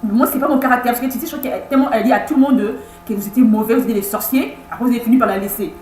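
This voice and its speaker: Woman, 30s, higher voice, stumbling and repeating herself, pleading tone, echoey room with faint hum. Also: warm tone, faint music behind. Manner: halting, many repeated words and restarts; insistent, pleading. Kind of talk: personal video message.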